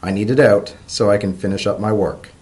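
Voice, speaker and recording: Man as a grumpy, low-voiced pony bureaucrat. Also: fairly even intonation, fairly quick, a little mellow, natural voice. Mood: exasperated. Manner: dry, exasperated, sardonic. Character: grumpy, weary male pony bureaucrat with creaky joints.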